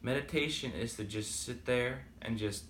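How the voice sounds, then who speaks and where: A man's voice: medium pitch, normal speed, a bit bright, echoey room, a little hiss or hum. A man with a slight Boston accent talking casually and rambling with many fillers in a motivational vlog. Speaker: vlogger. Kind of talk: casual motivational talk to camera.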